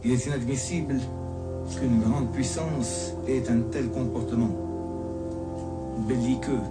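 A man's voice: mid-range voice, fairly even intonation, a little slow, loud music behind the voice. A man making a formal political statement.

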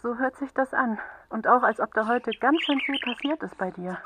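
A woman acting in a children's radio play as a patient, caring helpline counsellor who questions gently and attentively. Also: fairly high pitch, normal speed, dry recording, low-quality recording.

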